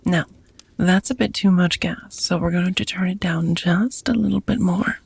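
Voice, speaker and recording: A gentle, courteous vampire roommate, acted by a woman, murmurs in a very breathy half-whisper for an intimate ASMR roleplay. She takes her time, tender and a little formal, with a creaky edge to the voice. The sound is close and dry. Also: fairly low pitch, fairly even intonation, dry recording, heavily acted. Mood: warm, reassuring. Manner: unhurried, softly formal, tender.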